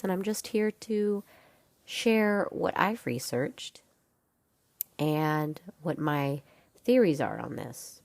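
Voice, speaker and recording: A woman's voice: low-pitched, darkish tone, dry recording. A young woman hosting a solo podcast, talking in a frank, chatty way with lots of fillers and some swearing, and sounding congested. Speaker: podcast host. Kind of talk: casual solo podcast talk.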